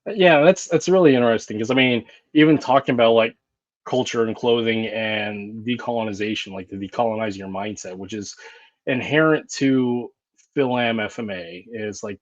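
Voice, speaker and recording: An American man talks in an earnest, reflective way in a casual podcast chat, his voice sitting a little low. The recording is dull, lo-fi and dry, with no room sound around him. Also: normal speed, dry recording. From United States. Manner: earnest, reflective, wanders off topic. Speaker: martial arts practitioner and podcast co-host.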